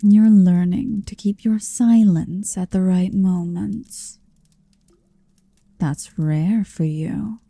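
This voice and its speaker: A very slow, very mellow dragoness acted by a woman murmurs in a breathy, often whispered ASMR roleplay voice with some creak. She is huge, possessive and domineering, teasing with deliberate menace. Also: medium pitch, steady tone, no echo, exaggerated voice. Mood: taunting, possessive. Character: towering possessive female dragon in human form.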